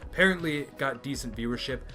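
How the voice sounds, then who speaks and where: A young man from America reviews an anime series, emphatic and opinionated, circling back to restate his points. His voice sits at a medium pitch. A faint music bed plays underneath. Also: fast talker, bright, forceful tone. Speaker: anime video host. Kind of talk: enthusiastic review commentary.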